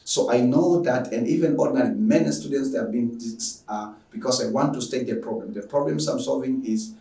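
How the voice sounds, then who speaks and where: A man's voice: mid-range voice, fairly quick, mellow. A man from Tanzania with a Tanzanian accent, introducing an online lecture as a teacher with emphatic, repetitive phrasing. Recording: echoey room, distant microphone.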